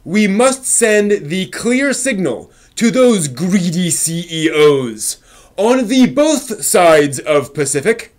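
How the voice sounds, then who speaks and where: A middle-aged man giving a theatrical dramatic reading, with impassioned, overwrought emphasis and drawn-out words. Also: high voice, sing-song. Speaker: host reading an old forum post aloud. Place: small home room with shelves, indoors.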